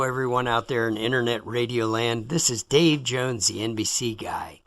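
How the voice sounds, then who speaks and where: A man's voice: medium pitch, a bit bright. A man giving a breezy, announcer-like plug for his own drama show. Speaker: guest promoting a show. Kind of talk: spoken promo.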